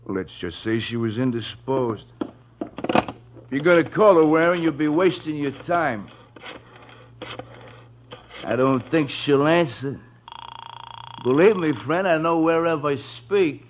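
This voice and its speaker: A man's voice: a little high, animated, slow, long pauses, background noise. An actor playing a smug gangster henchman in an old radio crime drama, mocking and cocksure in slangy tough-guy talk. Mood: taunting. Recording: dull vintage radio recording.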